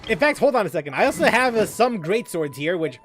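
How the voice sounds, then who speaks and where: A man's voice: high-pitched, big pitch swings, quick pace, fairly bright tone, faint music behind. A man casually chatting while streaming a game, rambling with lots of fillers and restarts as he reads chat aloud.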